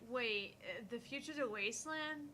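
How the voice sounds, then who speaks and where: A woman voicing brash, excitable, gullible internet posters in a visual novel. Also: fairly high pitch, moderate pace. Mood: brash, incredulous. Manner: brash, incredulous. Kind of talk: visual novel read aloud. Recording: faint background noise.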